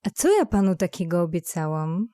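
A woman's voice: on the low side, sing-song, normal speed, dark tone, no room sound, clean studio sound. A woman playing a flirtatious, brazen, elegant mature lady in an audio drama, with a teasing, coquettish manner. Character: beautiful, flirtatious, brazen mature lady passenger in steampunk tale.

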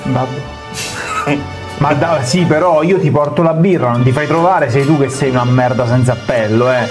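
A man's voice: medium pitch, normal speed, music in the background. A man giving improvised comic gameplay commentary in a crude, ranting, sweary manner. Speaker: gaming commentator.

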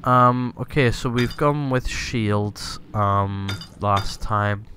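A man's voice: lowish voice, very slow, noisy background. A man commentating a video game, sounding stuffy and congested. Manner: relaxed, rambling, thinking aloud. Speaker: gaming video host. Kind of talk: let's-play commentary. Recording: commentary over loud game audio.

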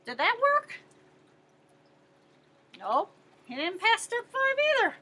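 A middle-aged American woman reads a picture book aloud to children in an expressive, emphatic way, asking questions and answering them. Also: very high-pitched, slow. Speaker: teacher-librarian reading to children. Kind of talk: animated picture-book read-aloud with questions to young listeners.

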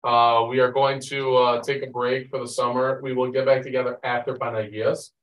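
A man's voice: medium pitch, flat delivery, moderate pace. A man leading a group study, talking informally and rambling with plenty of asides. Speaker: Bible study leader. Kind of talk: casual group teaching and chat. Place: meeting room with long conference table. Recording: roomy, picked up from a distance.

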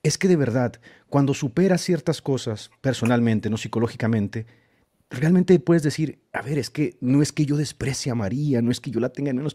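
A man hosting a video call panel, talking in quick runs and turning questions to his guests. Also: medium pitch, warm tone. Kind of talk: casual panel chat. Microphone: webcam video call.

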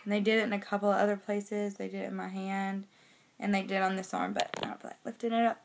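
A young woman with a slightly raspy voice from a cold, chatting casually in a personal vlog into a phone held at arm's length indoors. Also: medium pitch, little pitch movement, fast talker, noisy background. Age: young adult. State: has a cold. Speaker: pregnancy vlogger giving a weekly update. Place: small bedroom indoors.

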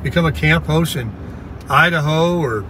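A middle-aged man with a slightly high voice chats in a folksy, upbeat ramble from behind the wheel. He is recorded on a dash camera inside a moving car. Faint road noise hums underneath. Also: moderate pace. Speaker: vlogger. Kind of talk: casual rambling talk while driving.

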